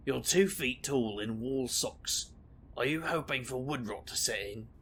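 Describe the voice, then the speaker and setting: A man's voice: mid-range voice, unhurried, a bit bright, slightly rough. A man playing a wry, weary investigator for hire, dry and sardonic, in a comic audio drama. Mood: dry, cautious.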